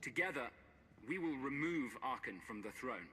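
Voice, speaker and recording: An actor playing an earnest, resolute heroic commander in a video game. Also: male voice, medium pitch, expressive, moderate pace, noisy background, natural voice. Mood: rousing, earnest. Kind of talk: dramatic game cutscene acting.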